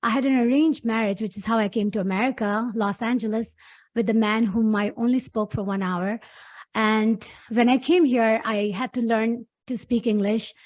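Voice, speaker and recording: A woman's voice: a little high, steady tone, normal speed, darkish tone. A middle-aged woman from Pakistan, a guest talking earnestly in a casual interview and laughing easily.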